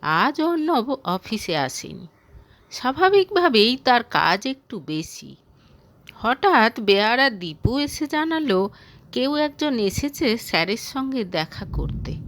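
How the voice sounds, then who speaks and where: Slow, high-voiced woman narrating a story. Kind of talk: scripted romantic fiction narration.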